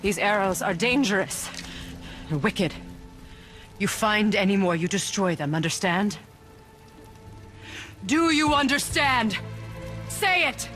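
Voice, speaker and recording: A woman's voice: medium pitch, expressive, a bit bright, soft background music. An actress playing a guarded, commanding witch and former goddess in a video game. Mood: alarmed, stern. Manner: commanding, measured.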